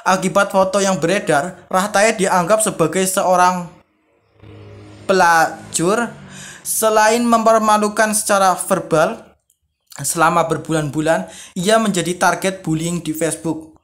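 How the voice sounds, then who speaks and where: Male, high voice, casual vlogger, read-aloud storytelling, informal commentary. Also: steady tone, measured pace, fairly bright tone. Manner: informal, reads aloud then paraphrases and reacts. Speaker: video creator, host. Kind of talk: casual vlog, reading stories aloud with commentary.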